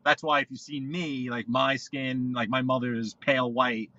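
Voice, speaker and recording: A man tells stories from behind the wheel, circling back and restarting his sentences as he goes. His voice is bright and forceful. The audio is dull and lo-fi, like a livestream feed. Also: mid-range voice, flat delivery, moderate pace, no echo. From New York. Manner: rambling, storytelling, frequent restarts. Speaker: livestream guest. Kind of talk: casual live podcast chat while driving. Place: in a car.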